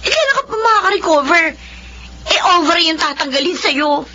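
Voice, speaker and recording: An actress playing a hardworking company officer and daughter in an expressive radio drama style. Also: female voice, very high voice, brisk, a little hiss or hum, full character voice. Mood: frustrated. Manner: expressive, code-switching between Filipino and English. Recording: muffled radio drama with music bed.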